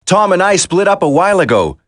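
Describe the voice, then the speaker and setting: An actor playing an earnest, determined young special-forces soldier in a dramatic video game. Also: male voice, sits high, big pitch swings, brisk, a bit bright, dry recording, studio quality. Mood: focused.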